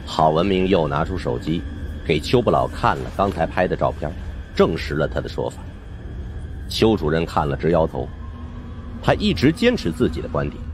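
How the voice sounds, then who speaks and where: A man narrating a supernatural suspense audiobook in a theatrical, suspenseful way. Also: a bass voice. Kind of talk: dramatic scripted storytelling of a supernatural suspense novel.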